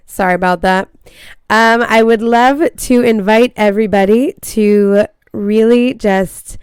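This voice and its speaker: A woman's voice: mid-range voice, smooth, no echo, clean studio sound. A woman hosting her own podcast as a marriage coach. She talks in an earnest, encouraging way while congested with a cold. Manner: earnest, encouraging, slightly apologetic. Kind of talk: casual podcast monologue.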